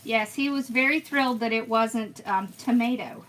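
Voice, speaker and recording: Bright-voiced chatty woman crafting live. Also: on the high side, moderate pace, a little hiss or hum, poor audio. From born in Pennsylvania, raised in Georgia. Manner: chatty, answers viewer comments, laughs often. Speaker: host of a craft livestream. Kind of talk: casual live-stream chat while crafting.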